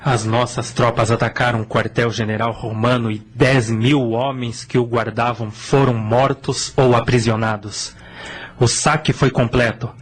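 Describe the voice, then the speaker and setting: A man's voice: medium pitch, level tone, a little slow, close to an everyday voice. Guy playing an army officer giving a formal victory report, radio play, medium voice. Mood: triumphant, formal. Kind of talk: radio drama acting.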